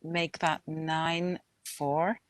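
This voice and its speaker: Woman, meeting chair, brisk procedural, lowish voice, dry sound. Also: flat delivery, dry recording. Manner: procedural, brisk, calls on speakers. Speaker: council chair.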